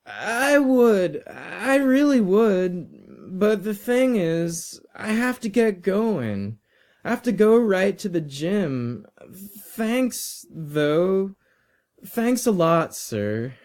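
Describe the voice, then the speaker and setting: Guy doing a nervous teen boy, way higher voice, super polite and eager, audiobook dialogue. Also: very animated, drawn-out words, a little mellow, heavily acted. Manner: eager, hesitant, over-polite. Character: teenage boy student, anxious, politely placating an old teacher.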